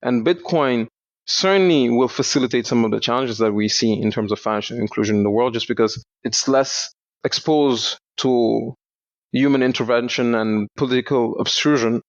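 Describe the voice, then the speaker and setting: A young man from Senegal, a startup founder, explaining his work in a measured way in a podcast interview. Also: mid-range voice, no echo. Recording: dull lo-fi dry sound.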